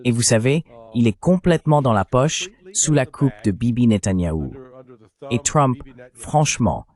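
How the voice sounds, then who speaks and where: A man's voice: medium pitch, lively intonation, normal speed, a little mellow. A man reading a translated voice-over of a political discussion in a blunt, opinionated way.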